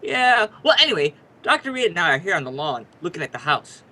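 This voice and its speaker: A man's voice: on the high side, sing-song, a little fast, sharp tone, heavily acted. A man acting a brash, wisecracking ghost hunter who hosts a radio program, in a chatty, showy old-time radio drama style. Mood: brisk, wry.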